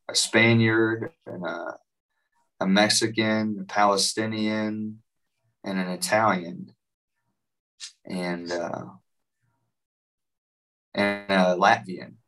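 A man's voice: lowish voice, fairly even intonation, takes it slowly, pauses often, a bit bright, far from the mic, rough recording. A young man from West Virginia with a West Virginian accent, chatting casually as a musician guest, rambling with lots of likes and you-knows. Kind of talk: casual interview chat.

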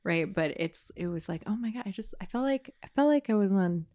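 A woman's voice: mid-range voice, lively intonation, brisk, warm tone. An American woman guest on a podcast, chatting casually with many "like" fillers. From United States.

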